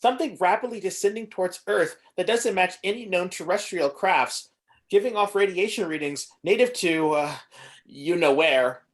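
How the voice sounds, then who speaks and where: A woman's voice: lowish voice, expressive, normal speed, lightly acted. A woman voicing a small, servile, anxious robot sidekick in an amateur table read. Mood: nervous.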